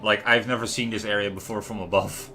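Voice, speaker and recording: A man's voice: lowish voice, fairly even intonation, brisk, pushed and bright. A man giving casual, rambling gameplay commentary as a livestream host, chatting to viewers as he goes. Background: lo-fi music and game sound.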